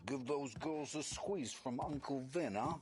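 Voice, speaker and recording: An actor playing a sleazy, chummy gangland arms dealer with a leering manner in a video game cutscene. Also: male voice, mid-range voice, measured pace, a little mellow, close to an everyday voice. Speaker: weapons supplier.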